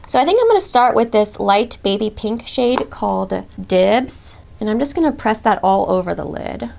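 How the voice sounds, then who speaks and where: A chatty woman in her thirties reviews makeup as she applies it, gushing over each product. Her voice sits a little high and breaks into a gravelly fry, and she sounds slightly congested, getting over a cold. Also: darkish tone. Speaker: beauty vlogger. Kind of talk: makeup tutorial.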